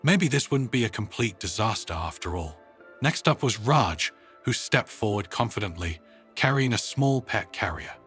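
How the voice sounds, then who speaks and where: A man narrating a scripted story in a dramatic, expressive audiobook style. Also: on the low side, normal speed, soft background music.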